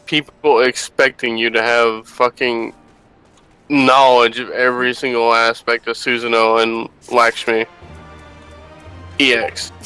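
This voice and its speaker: A man with a mid-pitched, forceful voice talks casually with gaming friends. He is direct and informal, coming through crisp, with faint music behind. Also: little pitch movement, measured pace, rough recording. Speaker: gamer friend in voice chat.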